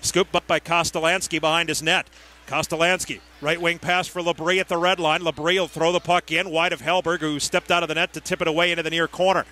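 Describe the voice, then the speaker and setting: Man, high voice, bright and forceful, live hockey radio commentary, clipped phrasing, crisp names. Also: level tone, a little fast. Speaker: radio play-by-play hockey announcer. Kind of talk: live sports play-by-play commentary.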